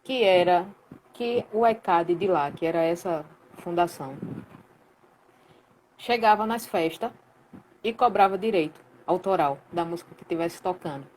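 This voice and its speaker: Low-voiced Pernambuco woman telling a story. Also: moderate pace, a little hiss or hum, low-quality recording. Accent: Pernambuco Portuguese. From Recife, Brazil. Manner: lively, colloquial storytelling with slang. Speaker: guest, sound professional. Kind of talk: casual storytelling in a live chat.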